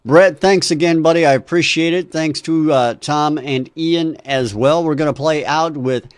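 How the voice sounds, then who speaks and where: A man's voice: fairly high pitch, normal speed, no echo, clean studio sound. A man hosting an online music review show, wrapping up with upbeat, joking sign-offs. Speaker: host.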